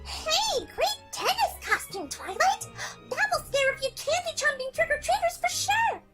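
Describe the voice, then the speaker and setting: Squeaky, giddy young cartoon pony. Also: female voice, sing-song, moderate pace, over music. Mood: giddy, playful. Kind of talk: cartoon character voice in fan fiction reading.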